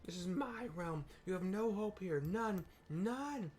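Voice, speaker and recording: A man's voice: high voice, lively intonation, slow pace, darkish tone, voice pushed up. A vengeful wind goddess , put on by a man narrating the game, speaks in a raised, haughty tone. She threatens mortals with grand, declaiming lines.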